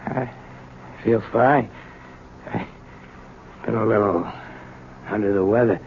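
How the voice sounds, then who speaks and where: A man acting in a radio drama as a meek, ailing old convict grandfather, speaking haltingly. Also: lowish voice, gravelly. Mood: meek, wistful. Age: older. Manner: halting, hesitant. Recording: dull, slightly noisy old radio recording.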